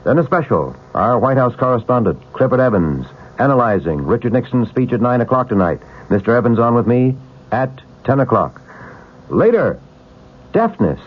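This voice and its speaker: A man's voice: medium pitch, very animated, normal speed, slightly rough. A man presenting a 1970s radio news promo in clipped, punchy phrases with dramatic questions. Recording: tinny band-limited vintage radio broadcast.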